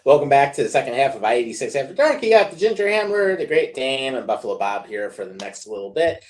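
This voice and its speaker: A man's voice: medium pitch, sing-song, quick pace. A man co-hosting a casual sports podcast, rambling with lots of fillers and laughing often. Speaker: podcast co-host.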